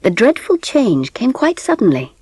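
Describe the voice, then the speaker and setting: A woman playing a gentle middle-class mother who narrates her family's story in a dramatised audiobook. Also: fairly high pitch, huge pitch swings, moderate pace, slightly rough, no room sound, exaggerated voice. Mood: reflective, fond.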